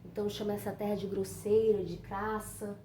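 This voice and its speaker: A woman's voice: mid-range voice, flat delivery, normal speed, dark tone. A woman from Brazil presenting and explaining a book chapter in an earnest, informal way, often saying "então" and "né". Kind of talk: informal spoken book commentary. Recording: echoey room, faint noise.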